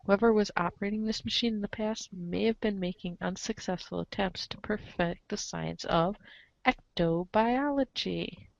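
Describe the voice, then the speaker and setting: A woman narrating a comic story aloud in an expressive read-aloud style, on a slightly dull recording. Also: medium pitch, normal speed, vocal fry, slight background noise. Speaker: narrator of a group webcomic reading.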